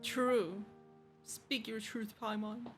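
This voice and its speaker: A woman streaming a game, giving casual, expressive live reactions in teasing slang. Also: on the high side, slow pace. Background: game music.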